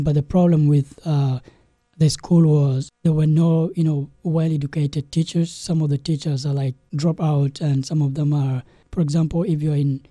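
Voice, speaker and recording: Brief: young South Sudanese-born man, dark husky voice, halting, flat delivery, dry close mic. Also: fairly high pitch, no echo. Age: young adult. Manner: halting, many restarts and "you know". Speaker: guest, game developer. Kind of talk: interview storytelling.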